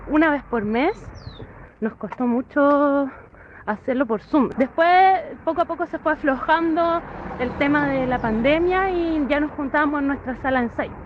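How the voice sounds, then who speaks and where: A woman from Chile giving an informal recorded account of her work as a performer. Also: sits high. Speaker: guest dance-theatre performer. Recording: muffled lo-fi recording with background noise.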